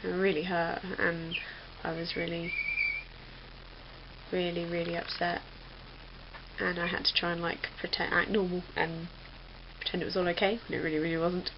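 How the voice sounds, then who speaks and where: Young woman vlogger, rambling casual chat, gravelly low voice. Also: little pitch movement, normal speed. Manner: rambling, chatty, many fillers. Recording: dull lo-fi webcam audio with faint hiss.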